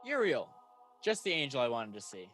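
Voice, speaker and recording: A steadfast messenger angel, played by a man, with a mid-pitched voice and calm authority. He delivers lines in a solemn, measured radio-play style over faint music.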